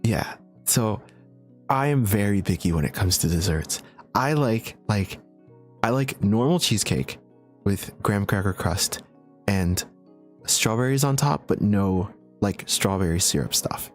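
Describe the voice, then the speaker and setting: A young man chatting casually on a livestream, answering viewer questions in a relaxed, chatty way with frequent laughs and fillers. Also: fairly low pitch, unhurried, soft background music. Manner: laid-back, chatty, laughs often, many fillers. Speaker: streamer.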